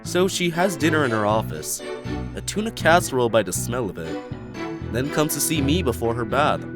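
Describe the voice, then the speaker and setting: A man voicing a spacey, laid-back male yak who rambles in a dreamy, drawn-out way. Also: medium pitch, big pitch swings, over music.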